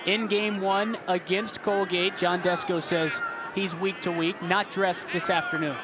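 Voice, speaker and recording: High-pitched young male sportscaster, noisy background. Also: level tone, unhurried, over a noisy crowd. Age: early 20s. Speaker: sports play-by-play announcer and reporter. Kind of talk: sports broadcast commentary and scripted reporting.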